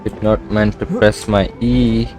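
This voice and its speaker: A man's voice: on the low side, flat delivery, measured pace, over music. A man giving casual live reactions as a gaming streamer.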